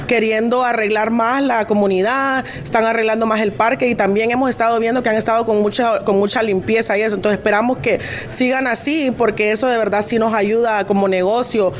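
A woman's voice: a little high, fairly even intonation, a little fast, hardly any pauses. A woman giving an unscripted interview answer as a local business worker.

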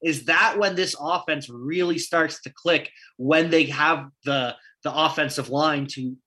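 A man's voice: on the high side, bright, forceful tone, breathy edge. A man with a Canadian accent co-hosting a casual sports talk show, reeling off statistics and posing questions. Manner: stat-heavy, questioning, quick asides. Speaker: podcast co-host. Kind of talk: casual sports analysis chat.

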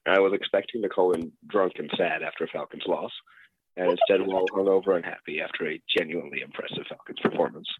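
A man's voice: low voice, fairly even intonation, quick pace, noisy background. A young man from Norway leaving a wry, self-deprecating voicemail as a caller. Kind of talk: voicemail monologue. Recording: tinny phone line.

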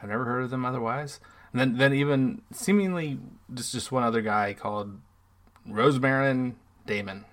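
Homem de voz média, fala hesitante. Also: male voice, medium pitch, fairly bright tone. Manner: hesitant, halting. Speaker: podcast co-host. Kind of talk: casual podcast chat.

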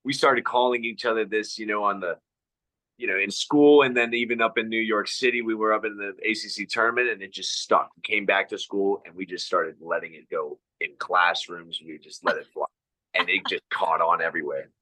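A man's voice: on the low side, fairly even intonation, a little fast, sharp tone, dry recording, poor audio. A young man, a DJ guest on a podcast, telling a story in an easygoing casual way. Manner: easygoing, laid-back storyteller. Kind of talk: casual chat.

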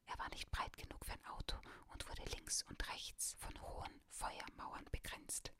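Slow whispering woman reading a story. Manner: soft steady whisper throughout. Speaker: reader.

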